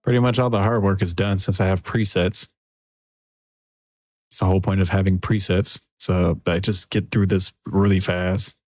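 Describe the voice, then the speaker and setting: A man mixing music on a livestream, thinking aloud in a soft, relaxed, casual way. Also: sits low, normal speed, no room sound. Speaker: music producer streaming a mixing session. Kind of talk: casual livestream commentary while working. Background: faint music from the mix being worked on.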